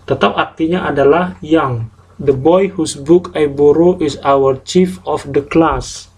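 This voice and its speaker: A man teaching a translation class online, explaining grammar slowly and step by step with English example sentences. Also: fairly high pitch, a little mellow, slightly rough, low-quality recording.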